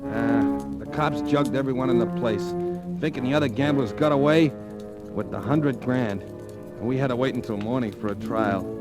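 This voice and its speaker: An actor playing an excitable, wisecracking American gambler telling his hard-luck story in colloquial vintage radio drama style. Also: medium pitch, normal speed, lightly acted. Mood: wry, aggrieved. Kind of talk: 1940s-50s American radio drama acting. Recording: old radio recording with music.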